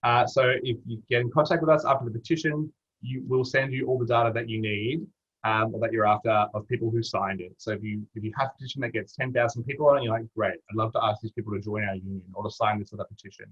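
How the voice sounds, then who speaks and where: A man's voice: on the low side, fairly even intonation, fast, fairly bright tone, breathy edge. An Australian man presenting an online webinar in a casual, matey way. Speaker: webinar presenter from a campaign platform team. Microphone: video call. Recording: dull lo-fi video call audio.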